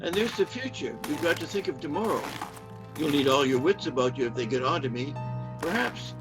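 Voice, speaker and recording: An actor playing a defensive, pleading husband with a guilty secret in a radio play. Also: male voice, on the high side, lively intonation, brisk, natural voice, low-quality recording. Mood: pleading, weary. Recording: live-streamed radio play, music behind.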